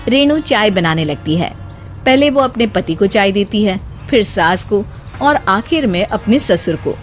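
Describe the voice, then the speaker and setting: A woman's voice: medium pitch, animated, measured pace. A woman narrating a scripted moral story as a storyteller. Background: background music.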